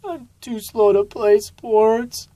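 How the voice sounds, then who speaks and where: A woman playing a young boy sloth in a storybook, easily discouraged, with drawn-out, plaintive words. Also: on the high side, mellow, dry recording, voice pushed up. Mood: dejected.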